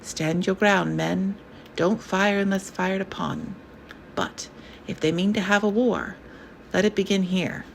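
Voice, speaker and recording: A woman voicing a calm, steady militia captain who speaks low and measured. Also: creaky, slight background noise.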